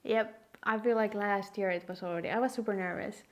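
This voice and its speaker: A woman's voice: mid-range voice, steady tone, fairly quick. A woman with a Finnish accent chatting casually and candidly to camera as a video host, telling stories in a self-deprecating way. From Finland. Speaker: host of a puzzling video channel. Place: quiet room at home.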